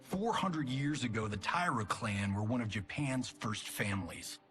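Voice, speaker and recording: A man's voice: lowish voice, expressive, moderate pace, a bit bright. An actor playing a cocky, glib, washed-up male action movie star in a video game cutscene, flippant and showy. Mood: sardonic. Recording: music behind the voice.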